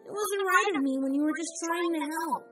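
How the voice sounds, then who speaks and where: A woman's voice: extremely high pitch, pitched above the performer's own voice. A woman voicing a brash young female pegasus pony in a storybook reading, an emotional, fiery tomboy. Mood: remorseful. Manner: emotional, quick to apologize or snap. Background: background music.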